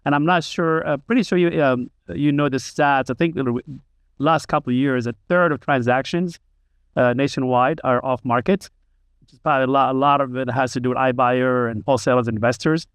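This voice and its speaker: Casting note: a male interviewer, a smooth voice in a dry, close recording, stumbling gently through his questions. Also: medium pitch, steady tone, a little fast, no room sound. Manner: hesitant, many "uh" fillers. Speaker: podcast host.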